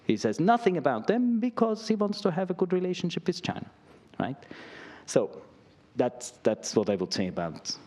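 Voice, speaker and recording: A middle-aged man lecturing in an academic setting, and the voice sits at an ordinary middle pitch for a man. He talks in a loose, conversational way, checking in with his listeners through "right?" and "you know?" as he goes. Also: a little mellow. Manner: conversational, peppered with "right?" and "I mean".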